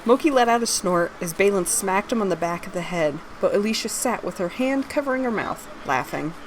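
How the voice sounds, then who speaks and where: A woman's voice: mid-range voice, animated, fairly quick, background noise. A woman reading her own fantasy story aloud, narrating scripted prose and its dialogue.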